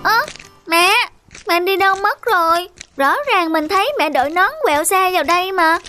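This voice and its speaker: A sweet, trusting little girl in a cartoon. Her voice sits very high and bright, and she speaks slowly and earnestly, with soft music underneath. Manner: childlike, earnest. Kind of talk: cartoon voice acting.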